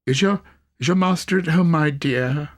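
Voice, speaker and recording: A man's voice: fairly high pitch, moderate pace, pitched above the performer's own voice, natural voice. A man voicing an old, repentant miser in an audiobook, stammering and repeating himself in breathless exclamations. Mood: flustered, eager. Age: older.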